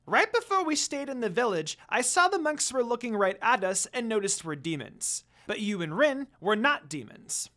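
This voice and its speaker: A reader puts on a small male green imp from an anime tale. He is high-pitched, anxious and excitable, pressing his words emphatically. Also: moderate pace, hardly any pauses. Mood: insistent.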